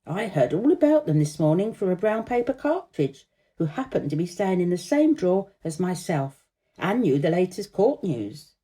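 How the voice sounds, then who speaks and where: A woman's voice: lowish voice, big pitch swings, moderate pace, dark tone. A woman voicing a big, opinionated male Roman candle firework in a storybook, pontificating emphatically. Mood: assertive.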